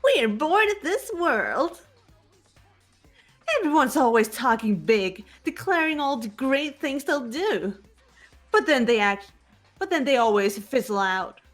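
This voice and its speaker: A woman's voice: high voice, very animated, a little slow, soft background music, full character voice. A woman playing a theatrical young female villain who gloats over despair in a fan-voiced game. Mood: theatrical disdain. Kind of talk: fan dub of a visual novel game, dramatic acting.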